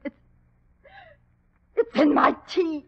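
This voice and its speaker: A woman's voice: high-pitched, takes it slowly. An actress playing a stubborn, stricken woman who cries out in pain, in old radio drama style. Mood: agitated, accusing. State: crying out in pain, gasping. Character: stricken, stubborn woman convinced she is poisoned. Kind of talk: 1940s radio acting. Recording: muffled old-time radio recording.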